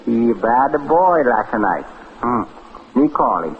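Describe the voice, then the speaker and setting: An actor playing a bossy, fussing house cook with a Chinese accent and clipped broken English, in a 1940s radio drama. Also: male voice, medium pitch, sing-song, slow pace. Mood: polite, fussing. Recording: dull, noisy old radio recording.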